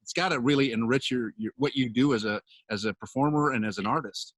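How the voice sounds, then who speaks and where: A man's voice: mid-range voice, fairly quick, a bit bright, dry recording. Effusive male interviewer, dry close sound. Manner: effusive, rambling questions with restarts. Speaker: interviewer, host. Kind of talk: conversational interview.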